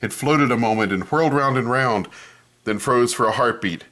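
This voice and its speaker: A man reading a children's picture book aloud in an expressive storytelling manner, commenting on the pages as he goes. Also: medium pitch, normal speed.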